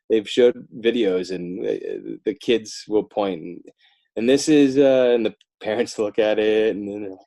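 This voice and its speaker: A man's voice: lowish voice, dark tone. An American man talking casually as an interview guest, rambling and joking in a self-deprecating way. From northern New Jersey, United States. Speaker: podcast guest, author and illustrator. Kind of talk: casual conversational interview chat.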